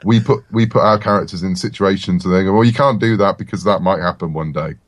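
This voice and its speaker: A man talking casually as a writer guest in a podcast interview, telling stories in a self-deprecating, halting way. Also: low voice, no room sound.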